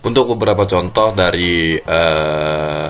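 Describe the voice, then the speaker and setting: Man, very low voice, stuffy nose, halting delivery full of fillers, faint music behind. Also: a bit bright. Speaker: student presenter. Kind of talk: class presentation. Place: cold room.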